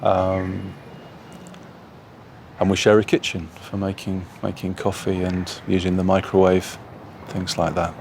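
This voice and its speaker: Very deep-voiced man, measured. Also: monotone, gravelly, darkish tone, a little hiss or hum. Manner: measured, frequent "um" pauses. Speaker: office worker. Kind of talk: unscripted interview answer.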